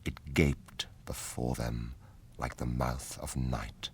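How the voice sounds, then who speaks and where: A man with a husky voice, narrating an epic fantasy radio drama in a solemn, measured way. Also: very deep voice, steady tone, exaggerated voice.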